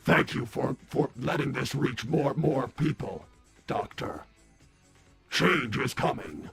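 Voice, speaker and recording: A man voicing an earnest, polite robot on a comedic livestream, speaking formally and haltingly with repeated words. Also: mid-range voice, gravelly, fairly bright tone, faint music behind, pitched below the performer's own voice, exaggerated voice. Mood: grateful.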